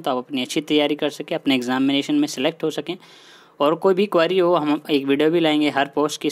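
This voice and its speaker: Higher-pitched man explaining updates. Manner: repetitive, mixes English words into his talk. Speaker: host of an exam news channel. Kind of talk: informal explainer, addressing viewers directly.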